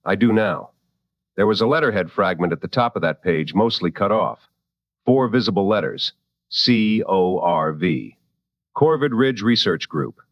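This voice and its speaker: An actor playing a seasoned, protective late-night radio host in a drama, with a firm, wry and deliberate delivery. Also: male voice, fairly low pitch, lively intonation, no room sound, highs cut off. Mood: grave, deliberate.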